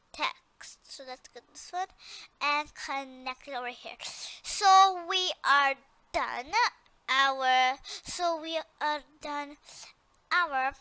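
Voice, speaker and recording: A woman presenting an informal step-by-step software tutorial, hesitant and often repeating her instructions as she goes. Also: sits high.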